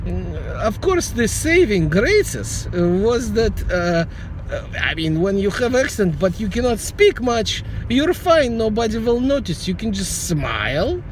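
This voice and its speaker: A man's voice: high voice, big pitch swings, a little slow, a little hiss or hum, low-quality recording. A middle-aged man with a Russian accent, a vlogger joking dryly and rambling in a casual monologue while driving his car. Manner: dry joking, rambling with restarts.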